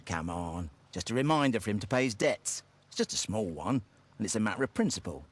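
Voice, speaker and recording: An actor playing a dismissive, menacing London brothel owner in a video game, with an offhand sneer. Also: male voice, on the low side, sing-song, a little fast. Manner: offhand, sneering, veiled threats. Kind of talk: dramatic video game acting.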